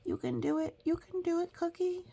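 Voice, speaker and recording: Woman putting on squeaky, encouraging little classmate. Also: huge pitch swings, normal speed, dark tone, slight background noise, full character voice.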